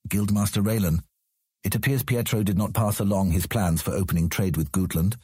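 A man playing a proud, measured ruler in a fantasy audio drama, speaking formally and with deliberate command. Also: low voice, steady tone, mellow, breathy edge, dry recording, clean studio sound. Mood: grave, probing. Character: proud, measured ruler of an outland city on his throne.

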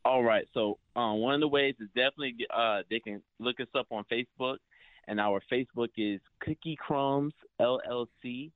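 A man's voice: mid-range voice, normal speed, no room sound. A man in his twenties calling in as a guest, talking upbeat about his family business. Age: 20s. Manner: upbeat, promotional. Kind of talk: phone-in interview. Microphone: phone. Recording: tinny phone line.

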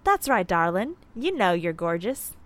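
Casting: scrappy space-base mechanic, mid-pitched voice, goofy, exasperated, chatting to oneself. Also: female voice, sing-song, measured pace, a little hiss or hum. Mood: playful. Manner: casual, rambling, talking to oneself. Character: scrappy, goofy, exasperated moonbase maintenance worker. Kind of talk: sci-fi audio drama monologue.

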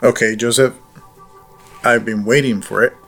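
A man's voice: medium pitch, steady tone, moderate pace, fairly bright tone, soft background music, lightly acted. A man acting a patient, obliging farm worker in an audio drama, speaking plainly and without hurry. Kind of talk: naturalistic audio drama dialogue.

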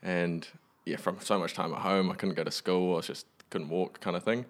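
A young man from Western Australia with a deep voice talks casually as a musician being interviewed. He keeps things understated and matter-of-fact. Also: fairly even intonation, fairly quick. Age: young adult. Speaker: musician guest. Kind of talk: casual chat.

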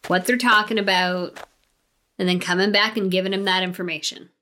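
A woman's voice: medium pitch, steady tone, brisk, bright, forceful tone, natural voice. A woman voicing a young male cult recruit who talks back sarcastically, over faint music. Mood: wary.

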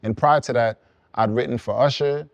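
A young man from Brooklyn, a songwriter guest, explaining his path in relaxed, reflective casual conversation. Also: mid-range voice, moderate pace, gravelly, dry recording, muffled recording. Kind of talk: casual podcast chat.

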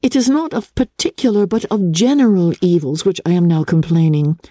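A woman voicing an earnest, sensible young gentlewoman from a classic novel, formal and pleading. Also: fairly low pitch, fairly quick, dry recording, studio quality, voice pushed up, lightly acted. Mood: earnest, urgent.

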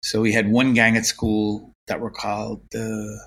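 A man in his fifties with a Limerick Irish accent, recalling his past as an interview guest in an unhurried, reflective way. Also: on the low side, monotone, fairly bright tone, breathy edge. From Ireland. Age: 50s. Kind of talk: casual interview.

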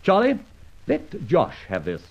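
A suave masked adventurer. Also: male voice, on the high side, wildly swooping pitch, a little slow, exaggerated voice. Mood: commanding. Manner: confident, mocking, commanding. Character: suave masked gentleman adventurer and narrator, man. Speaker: narrator. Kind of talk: 1940s radio acting. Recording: dull old radio recording.

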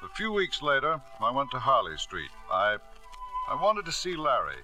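An actor playing a proud, stubborn older father in vintage radio drama, insistent and smooth-talking. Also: medium pitch, wildly swooping pitch, moderate pace, music in the background, poor audio. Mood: somber narration. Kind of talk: 1940s-50s radio drama acting.

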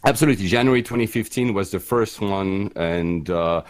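A man's voice: sits low, a little fast. A middle-aged man from Switzerland whose first language is French, chatting casually as a podcast guest with many fillers and restarts. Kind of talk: casual chat on a live stream.

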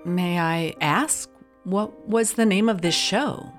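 A woman's voice: fairly low pitch, slow, faint music behind. A woman hosting an investigative podcast, narrating and interviewing in an earnest, dramatically pondering way. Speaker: host and interviewer.

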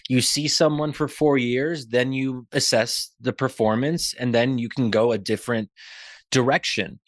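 A man's voice: medium pitch, a bit bright, no echo. Man, American accent, interview guest, earnest explanatory delivery, reflective discussion, dry close sound. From Pennsylvania, United States.

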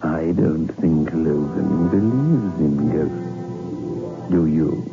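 A sinister male teller of ghost tales from old radio horror. His deep voice creeps along with a teasing menace. Soft music sits under the thin, phone-like sound. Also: vocal fry, exaggerated voice. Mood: ominous, teasing. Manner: drawn-out, ominous. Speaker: horror show host. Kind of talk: 1960s radio horror narration.

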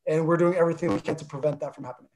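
A man with a New Jersey accent, who spoke Armenian first, talking earnestly as an interview guest and pausing often with fillers. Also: on the high side, steady tone, darkish tone. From New Jersey, USA. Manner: earnest, thoughtful, with many fillers and restarts. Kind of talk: casual interview talk.

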